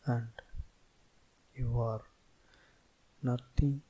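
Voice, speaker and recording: Very slow-speaking man guiding meditation. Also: medium pitch, level tone, dark tone, slightly rough, rough recording. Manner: soothing, deliberate, short phrases with long pauses. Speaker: meditation guide. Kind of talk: guided meditation instruction.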